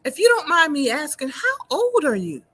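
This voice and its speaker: A woman hosting a talk show, asking questions in a warm, encouraging manner. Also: sits high, wildly swooping pitch, moderate pace. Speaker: host. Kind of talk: interview conversation.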